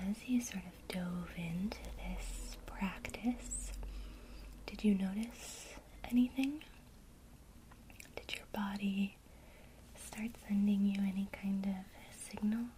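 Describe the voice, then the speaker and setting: A woman whispering softly and breathily in a gentle, encouraging way, as a teacher in an ASMR one-to-one lesson. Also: medium pitch, fairly even intonation, extremely slow, pauses often, poor audio.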